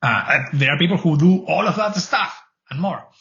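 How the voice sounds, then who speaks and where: A man's voice: fairly high pitch, lively intonation, moderate pace, fairly bright tone, muffled recording. A middle-aged man from Argentina with an Argentine Spanish accent, speaking English as a second language. He answers interview questions earnestly, with many restarts. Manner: earnest, rambling, frequent restarts. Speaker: guest, cloud advocate.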